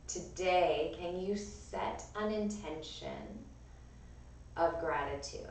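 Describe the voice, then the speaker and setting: A woman with a raspy, strained voice gently cueing breath and movement as a yoga and pilates instructor. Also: lowish voice, slow, a little hiss or hum. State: strained voice from overuse. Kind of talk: guided class instruction. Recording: echoey room, voice from far off.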